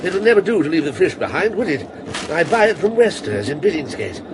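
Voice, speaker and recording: An actor in a radio drama playing a hearty, complacent middle-class gentleman who chats jovially. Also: on the high side, sing-song, a little fast, background noise. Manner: jovial, chatty.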